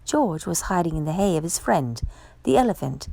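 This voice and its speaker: A woman reading a children's picture book aloud as a storyteller, with expressive, measured delivery. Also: lowish voice, no room sound, low-quality recording. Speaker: storytime reader.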